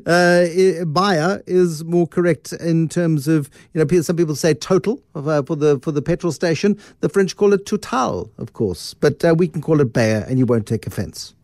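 A man hosting a radio business show, questioning his guest in a chatty, lively way with asides. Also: a little high, moderate pace, few pauses, dry recording, clean studio sound. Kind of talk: radio interview.

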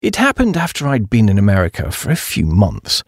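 A man voicing a flighty, excitable young upper-class English gentleman in comic audiobook narration, with breezy, slangy, exclamatory delivery. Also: lowish voice, brisk, dry recording, studio quality, voice pushed down. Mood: chatty, earnest. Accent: British upper-class. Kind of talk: first-person comic audiobook narration in character.

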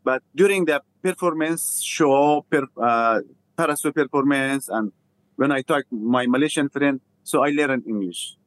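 Afghan-accented man telling his story haltingly. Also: medium pitch, rough recording. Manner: halting, simple non-native English with repeated phrases. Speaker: interview guest, refugee theatre founder. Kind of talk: personal storytelling in an interview.

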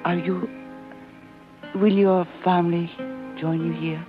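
An actress playing a gentle, demure young señorita in a 1950s radio drama. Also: female voice, on the low side, little pitch movement, takes it slowly. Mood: demure, courteous. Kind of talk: 1950s radio romance acting. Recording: dull 1950s radio recording, guitar music behind. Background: guitar music.

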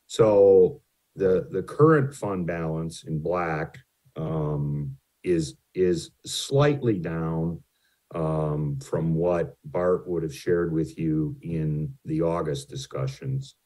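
A very deep-voiced man talks slowly through slides on a remote call. His tone is dark and mellow, and he hesitates often with ums and restarts while presenting numbers. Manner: says his voice tends to carry. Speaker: utility rate consultant presenting to a city.

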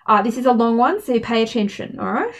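A woman's voice: on the high side, big pitch swings, a little fast. A woman co-hosting a student radio show, playful and teasing as she runs a quiz. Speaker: radio co-host, quizmaster. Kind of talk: casual radio chat.

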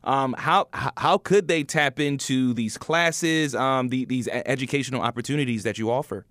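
A man's voice: mid-range voice, moderate pace, fairly bright tone, dry recording. American male podcast host, dry close sound. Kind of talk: casual podcast interview.

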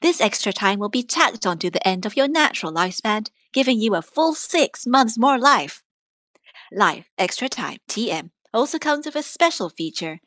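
A woman reading scripted literary prose aloud in a measured, articulate way. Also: fairly high pitch, sing-song, fairly bright tone, no room sound, studio quality. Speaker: reader of prose and poetry.

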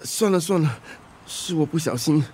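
An actor playing a repentant middle-aged ex-husband in a radio drama, earnest, conciliatory and pleading. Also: male voice, on the high side, lively intonation, moderate pace, darkish tone, slightly rough. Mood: placating. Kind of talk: Chinese radio drama acting.

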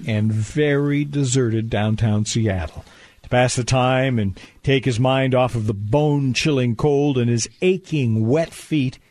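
A man's voice: mid-range voice, a little mellow. A man announcing and narrating a live old-time radio play in a scripted broadcast style.

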